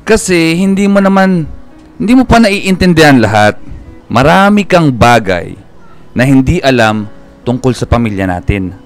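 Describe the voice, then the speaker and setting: Aloof young Filipino big brother, swinging pitch. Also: male voice, big pitch swings, moderate pace, pauses often. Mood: guarded. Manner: brusque, dismissive. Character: aloof, irritable, secretive teenage older brother. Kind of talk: Filipino radio drama acting. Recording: music bed behind the voice.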